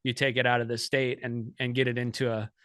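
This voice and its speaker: A man's voice: medium pitch, level tone, fairly quick, fairly bright tone, clean tone, no echo, clean studio sound. Rambling, filler-heavy male podcast host. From United States. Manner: rambling, many fillers and restarts. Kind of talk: casual podcast conversation.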